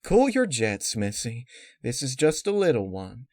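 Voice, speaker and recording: A man's voice: medium pitch, wildly swooping pitch, a little slow, darkish tone, no room sound, clean studio sound. A man voicing an anxious pregnant farm mare with folksy country phrasing in a story reading. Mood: easygoing.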